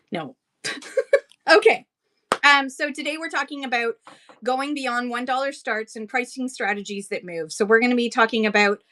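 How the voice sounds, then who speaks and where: A woman with a Canadian accent hosting a casual livestream lesson for sellers, chatty and quick to laugh between points. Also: fairly high pitch, pushed and bright.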